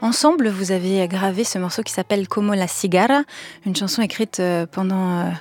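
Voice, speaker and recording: A woman's voice: mid-range voice, fairly quick, darkish tone. A woman radio host with a mid-range voice. She asks her questions in a composed, articulate way. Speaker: radio interviewer. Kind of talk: interview questions.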